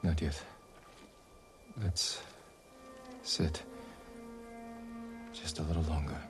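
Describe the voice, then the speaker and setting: A deep-voiced, solemn samurai leader. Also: male voice, steady tone, exaggerated voice. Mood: grave, intimate. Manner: measured, grave, commanding. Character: resolute samurai leader, solemn, loyal to his companions. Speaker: war leader. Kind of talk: dramatic video game acting. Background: music.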